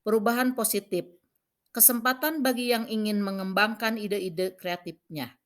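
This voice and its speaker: A woman teacher with a medium-pitched voice narrates a scripted lesson. She reads out each point in short, measured phrases, in an instructional manner. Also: steady tone. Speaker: vocational school cooking teacher.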